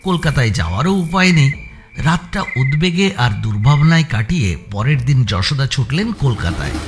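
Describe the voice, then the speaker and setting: A man narrates a scripted suspense story in a measured storytelling manner. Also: mid-range voice, sing-song, music in the background. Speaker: audio story narrator and channel presenter.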